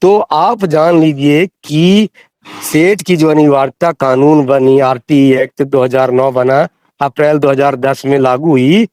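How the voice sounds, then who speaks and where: A man's voice: fairly high pitch, steady tone, a little slow, a little mellow, dry recording. A man explaining rules in a phone discussion, insistent and repeating phrases for emphasis. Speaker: interviewee explaining teacher rules. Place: recorded audio call.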